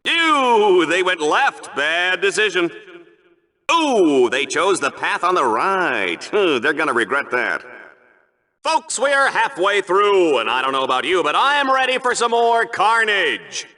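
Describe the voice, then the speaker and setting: A man's voice: sits high, very animated, slow pace, sharp tone, heavily acted. A man voicing a smug, cowardly show-off arena commentator in a video game, theatrical and sarcastic in an over-the-top game show style. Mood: showy, smug. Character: smug, hammy male sci-fi arena sportscaster, cowardly show-off. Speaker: sports commentator.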